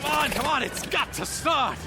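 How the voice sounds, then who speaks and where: A desperate wartime flier, pleading and defiant. His voice is very high for a man and swings widely. It is radio drama, with music and engine noise under the words. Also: animated, moderate pace. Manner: urgent, pleading. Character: desperate, defiant old-time war pilot in a hangar.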